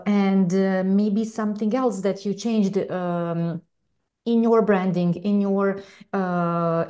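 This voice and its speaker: Mellow-voiced woman moderating a panel. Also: mid-range voice. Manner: hesitant, many "uh" fillers, short backchannels.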